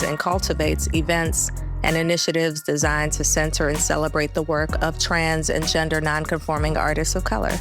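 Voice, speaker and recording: Low-voiced woman host over music. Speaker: podcast host. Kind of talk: scripted introduction and interview questions. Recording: music bed behind the voice.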